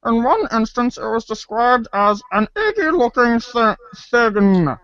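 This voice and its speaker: A woman's voice: on the high side, lively intonation, a little slow, a bit bright, no echo. A woman hosting and reading in a fan readers' theater, moving between acted reading and casual asides. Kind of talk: read-along acting with casual asides. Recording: dull lo-fi audio.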